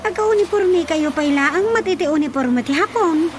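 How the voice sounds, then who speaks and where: An actress playing a dutiful young adult daughter in a radio drama, speaking with an Ilocano accent and a fussing, attentive manner. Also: female voice, very high voice, normal speed, background noise. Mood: caring. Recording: music bed under the dialogue. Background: music.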